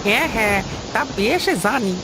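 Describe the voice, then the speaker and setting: A woman voicing a cheeky, grumbling male household servant in a cartoon, with a creaky voice and a mocking, whiny manner. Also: a little high, lively intonation, moderate pace, heavy background noise. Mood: teasing. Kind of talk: Bengali cartoon voice acting.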